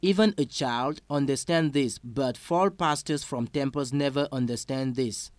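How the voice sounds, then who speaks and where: A man interpreting a sermon line by line, slow and careful, with small slips. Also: mid-range voice, dry recording.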